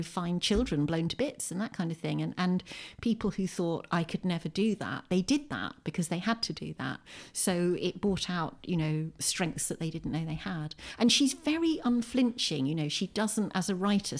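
A woman with an English accent talking thoughtfully and articulately as an interview guest, making her points with emphasis. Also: on the low side. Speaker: podcast guest, poet and writer. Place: at home, indoors.

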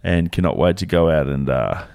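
A man's voice: very deep voice, animated, normal speed, creaky, darkish tone, no room sound. A young Australian man co-hosting a podcast, chatting in crude, joking banter and laughing often. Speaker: podcast co-host. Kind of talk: casual banter.

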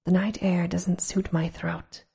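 A woman's voice: fairly low pitch, level tone, measured pace, vocal fry, mellow, husky, full character voice. A woman voicing a fussy old magpie in a whisper.

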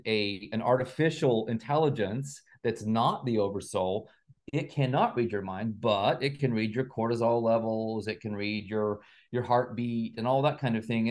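A man's voice: mid-range voice, a little mellow. A man speaking as an interview guest, explaining conversationally and carefully qualifying his points like a teacher.

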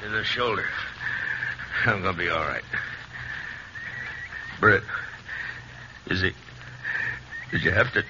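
An actor playing a wounded, anguished man of the Wild West, halting and folksy, in a 1950s radio western. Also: deep voice. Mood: pained. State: in pain from a wound. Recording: muffled, hissy 1950s radio recording.

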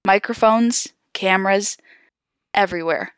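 A woman's voice: mid-range voice, measured pace, clear, resonant tone, no room sound. A woman podcast host reading horror stories aloud, with casual, amused asides. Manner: giggly, animated in asides.

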